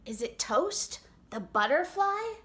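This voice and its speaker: A woman's voice: sits high, sing-song, slow, a bit bright, voice pushed up, exaggerated voice. A woman voicing a careful, anxious and eager female chipmunk in a picture book, with a jittery, questioning manner. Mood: curious, eager. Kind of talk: picture book read-aloud.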